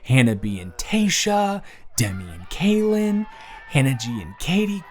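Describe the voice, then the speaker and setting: A man's voice: mid-range voice, big pitch swings, measured pace. A young man playing a teasing, affectionate best friend of someone's brother in a casual, slangy romantic roleplay audio drama. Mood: upbeat.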